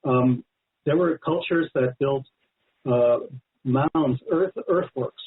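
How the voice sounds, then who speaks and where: An American man, an author answering as an interview guest, explaining in measured, storytelling phrases. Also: mid-range voice, breathy edge. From United States. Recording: narrow phone-line sound.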